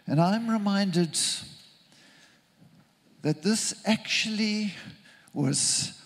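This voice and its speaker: A man from Zimbabwe, preaching a sermon in an earnest, deliberate way with emphatic repetition. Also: sits high, breathy edge.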